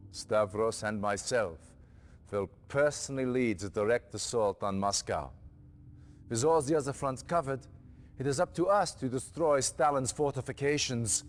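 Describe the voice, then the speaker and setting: A man's voice: medium pitch, expressive, unhurried, big-room sound, close to an everyday voice. A man playing a stern allied general. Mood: commanding. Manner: commanding, clipped military briefing. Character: stern middle-aged male allied general. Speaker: allied general briefing a commander. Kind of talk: game cutscene acting.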